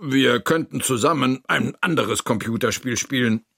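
A man voicing an earnest, emotional child friend in a children's story, with an excitable manner. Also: medium pitch, normal speed, vocal fry, husky, no room sound, studio quality.

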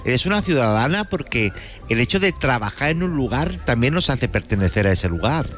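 A man's voice: mid-range voice, big pitch swings, fairly quick, a bit bright, faint music behind. A man with a Spanish accent, chatting casually as an enthusiastic and inquisitive radio panellist. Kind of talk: casual live radio chat.

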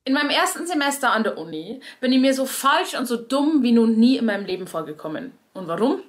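A woman's voice: fairly high pitch, very animated, fast. A woman with a Bavarian accent explaining in a conversational, wry way, addressing her audience directly as a cabaret performer would.

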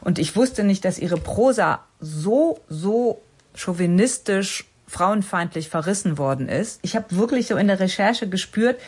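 A woman's voice: medium pitch, lively intonation, a little slow, darkish tone, slightly rough. A woman filmmaker answering interview questions about her work, thoughtful and earnest, sometimes searching for words.

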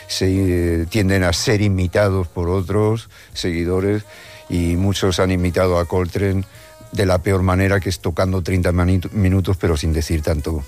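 A man from Madrid with a Madrid Spanish accent speaks in a very low, deep voice. He is a musician answering a radio interview, thinking aloud and restarting his sentences. Quiet music hums faintly underneath. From Madrid, Spain. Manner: thoughtful, halting, many restarts. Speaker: musician guest.

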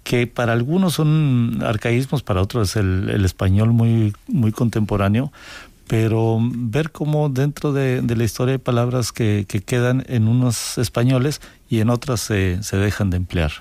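A man from northern Mexico with a Mexican accent, a writer answering interview questions thoughtfully, pausing and restarting often. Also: mid-range voice, mellow, no room sound. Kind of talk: conversational interview.

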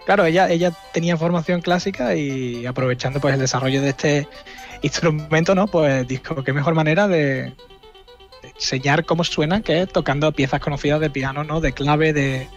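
A man giving an informal, explanatory radio music segment, often pausing mid-phrase and tagging his points for agreement. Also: fairly high pitch, muffled recording. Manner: halting, explanatory, seeks agreement with tags. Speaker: radio music section contributor. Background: music playing underneath.

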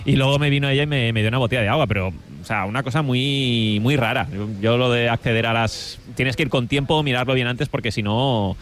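A male sports reporter with an accent from Spain talks in a casual, anecdotal radio style. He speaks very rapidly at a middling pitch. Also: fairly bright tone. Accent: Spanish from Spain.

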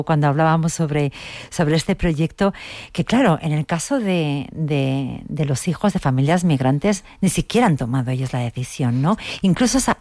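A woman hosting a radio interview, asking measured questions and often restarting her phrases. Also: low voice, a little mellow, dry recording, clean studio sound. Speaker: radio host.

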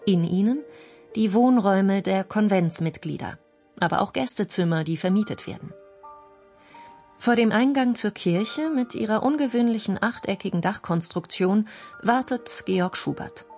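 A woman's voice: medium pitch, animated, moderate pace, a little mellow, faint music behind. A woman reads a scripted documentary narration as a radio feature narrator.

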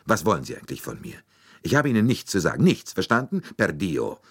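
A man voicing a hot-tempered Italian former chauffeur with an Italian accent, who talks loud, quick and indignant. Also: low-pitched, huge pitch swings, slightly rough, voice pushed up, heavily acted. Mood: defiant.

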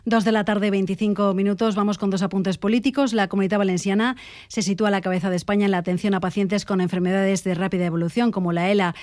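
A woman's voice: mid-range voice, steady tone, fast talker, a little mellow, no echo, clean studio sound. A woman with an accent from Spain, anchoring a scripted radio news bulletin.

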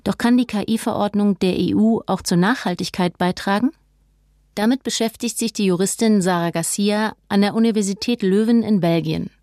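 A woman's voice: medium pitch, normal speed, mellow, no room sound. A woman narrating a scripted news documentary as a reporter, recorded close on a studio microphone.

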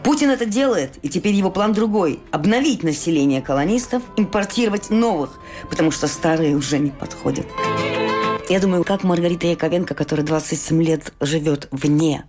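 A woman with a slightly low voice conducts a literary interview. She phrases her thoughts deliberately and emphatically, almost like an essay. Faint music plays behind her. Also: lively intonation. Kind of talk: literary interview with reflective commentary.

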